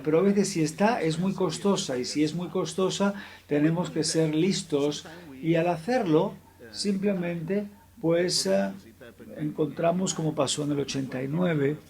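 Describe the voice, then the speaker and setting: A man gives a formal introduction at a public lecture. His voice sits a little higher than most men's. He speaks in a steady, measured way and stops briefly on a filler now and then. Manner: measured, with occasional hesitant fillers. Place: lecture hall.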